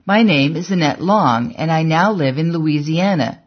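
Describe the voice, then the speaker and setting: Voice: mid-range voice, moderate pace, husky, natural voice. A reader voicing a forthright, heavy-set middle-aged woman in an audiobook, on a dull, lo-fi recording. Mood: insistent.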